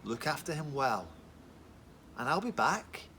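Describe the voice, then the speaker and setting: A man voicing a gentle, kind stranger who helps a wounded traveler in a Bible story for children. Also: big pitch swings, unhurried, slight background noise, heavily acted. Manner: soft, gentle, low-spoken.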